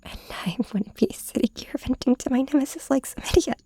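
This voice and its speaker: A woman playing a weary, heartbroken hero confiding in her nemesis, hesitant and soft-spoken in an intimate ASMR audio drama. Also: sits high, animated, fairly quick, no room sound, exaggerated voice. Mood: hurt, bitter. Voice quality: breathy, whispery.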